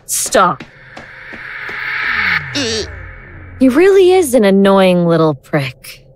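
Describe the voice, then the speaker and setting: A woman playing a cold, godlike destroyer in an audio drama, deliberate, condescending and scornful. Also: mid-range voice, big pitch swings, music in the background. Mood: grandiose, mocking.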